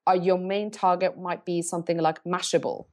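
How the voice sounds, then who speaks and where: Woman, a bit low-pitched. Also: steady tone, a little mellow. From Norway. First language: Norwegian. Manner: thoughtful, pauses to search for words and rephrases. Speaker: agency CEO, guest. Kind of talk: podcast interview answers.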